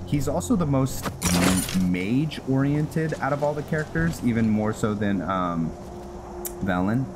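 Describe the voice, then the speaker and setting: A man giving casual game commentary as he plays, thinking aloud in a halting way. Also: mid-range voice, expressive, background noise. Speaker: gaming video host. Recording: loud game music behind the voice. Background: loud video game music.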